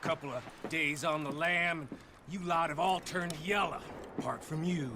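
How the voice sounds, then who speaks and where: An actor playing a cocky, needling outlaw in a video game, taunting and quick to anger. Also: male voice, fairly high pitch, noisy background, lightly acted. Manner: taunting, drawn-out sneering. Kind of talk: cinematic video game acting.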